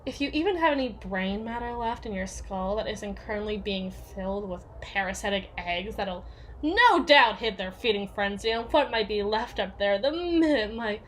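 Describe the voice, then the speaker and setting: A woman playing a domineering, conniving young woman, possessive and jealous, in a theatrical, sardonic ASMR roleplay monologue with haughty, drawn-out emphasis. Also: fairly high pitch, a bit bright, slight background noise, rough recording. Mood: commanding, possessive.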